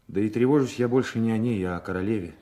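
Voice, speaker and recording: A man's voice: fairly low pitch, fast talker, mellow, close to an everyday voice. A man playing a gallant young Gascon swordsman in a radio drama, courteous and earnest. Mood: earnest, resolute. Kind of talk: radio play acting.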